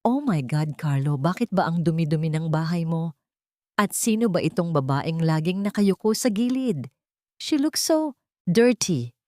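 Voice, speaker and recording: A woman's voice: fairly low pitch, lively intonation, unhurried, mellow, no room sound, studio quality. Woman putting on a haughty, spoiled rich young woman. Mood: disgusted. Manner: affected, haughty, disdainful.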